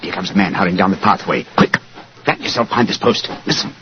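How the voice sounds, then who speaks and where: An actor playing a commanding English gentleman detective with an upper-class British accent, clipped and decisive, in 1940s radio style. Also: low voice, fast, lightly acted. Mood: urgent, decisive. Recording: noisy, muffled 1940s radio recording.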